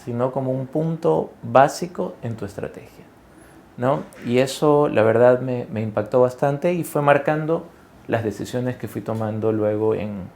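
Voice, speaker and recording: A man from Ecuador in his forties, talking through his career in a relaxed interview, with lots of fillers, restarts and tag questions. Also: medium pitch, moderate pace, gravelly. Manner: conversational, many fillers and self-corrections, tag questions. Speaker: guest executive. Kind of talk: interview conversation.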